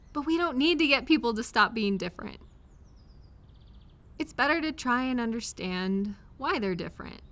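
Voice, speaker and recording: A woman playing an affectionate, reassuring friend or partner in an intimate comfort audio roleplay, speaking gently and directly to the listener. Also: mid-range voice. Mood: gentle, reassuring.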